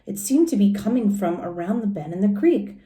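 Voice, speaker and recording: A woman with a medium-pitched voice reads a picture book aloud in a teacherly way. She breaks off into chatty, simple explanations and questions for her young listeners. The room adds a clear echo. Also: lively intonation, fairly quick, warm tone. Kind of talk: expressive children's storybook read-aloud with chatty asides to young listeners.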